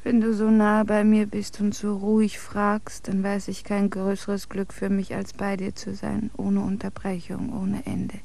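An actress in a German radio drama playing a devoted, possessive, melancholy young bride with intense theatrical delivery. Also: female voice, mid-range voice, monotone, measured pace, gravelly, few pauses, dark tone. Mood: hushed, morbid longing.

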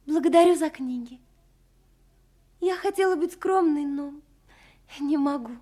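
Audio drama, female: sweet young girl, high-pitched, softly mellow, wistful and shy. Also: unhurried. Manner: gentle, wistful. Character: sweet, shy young girl reading her farewell letter. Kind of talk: audio play acting.

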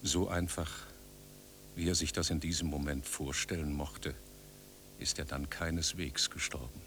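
An actor playing an agitated, tipsy doctor in a radio drama, recounting an emergency precisely and forcefully. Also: male voice, a bass voice, steady tone, measured pace, background noise. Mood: clinical, sober. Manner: forceful, precise, erupting into shouts. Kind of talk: radio drama narration in character.

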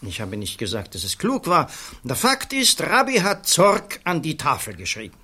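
An actor playing a defensive, insistent male expert witness in a radio play, by turns halting and emphatic. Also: on the high side, huge pitch swings, sharp tone, husky, heavily acted.

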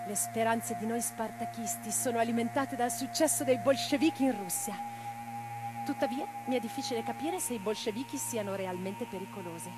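A woman's voice: fairly high pitch, lightly acted, low-quality recording. A woman playing an impassioned, tender revolutionary writer in a radio drama, speaking in a lyrical, declamatory way. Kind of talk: theatrical radio drama monologue and dialogue. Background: plucked guitar music.

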